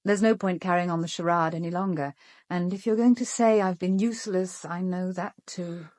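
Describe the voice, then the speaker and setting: A woman's voice: on the low side, fairly even intonation, moderate pace, darkish tone, no room sound, clean studio sound, close to an everyday voice. An actress playing a cool, observant English woman detective in a radio drama, composed and matter-of-fact. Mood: cool, frank. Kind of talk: British radio drama acting.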